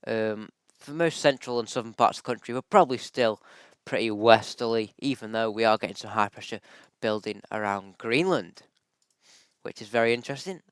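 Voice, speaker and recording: A man's voice: on the low side, moderate pace, smooth, dry recording. A man with a bad cold and a slightly off voice, chatting casually through weather charts with plenty of ums. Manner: chatty, lots of ums and likes, thinks aloud. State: has a bad cold, voice a little off. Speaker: weather forecaster. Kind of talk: casual forecast vlog over screen-shared charts.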